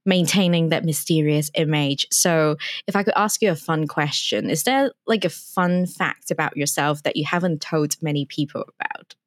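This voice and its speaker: A woman's voice: low-pitched, moderate pace, few pauses, dry recording, clean studio sound. A young woman hosting a podcast, interviewing her guest in a casual, conversational style. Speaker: podcast host.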